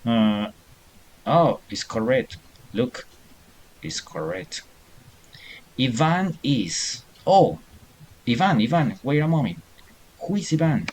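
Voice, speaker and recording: A man's voice: medium pitch, big pitch swings, slow, long pauses, a little mellow, slight background noise. A middle-aged man teaching a beginner English class online, patiently encouraging students and reading answers aloud.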